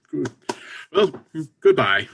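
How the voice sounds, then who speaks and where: A man's voice: a little high, very animated, extremely slow, creaky, sharp tone, a little hiss or hum, exaggerated voice. A man in a comedy reading, playing a preoccupied, matter-of-fact married friend with a dry manner. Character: preoccupied, businesslike married friend, dryly encouraging. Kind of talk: comedy readers theater.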